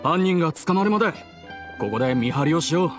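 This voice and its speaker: An actor playing a dutiful, good-natured police partner in a Japanese video game, casual but earnest. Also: male voice, on the high side, expressive, a little fast, music in the background, close to an everyday voice.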